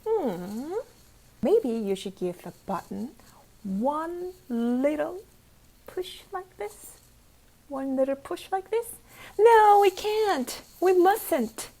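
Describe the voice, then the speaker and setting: A woman's voice: sits high, big pitch swings, a little mellow, rough recording. A woman teacher reading a picture book aloud to children, playful and interactive, asking questions, repeating phrases and adding exclamations and sound effects. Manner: repeats phrases slowly for young learners.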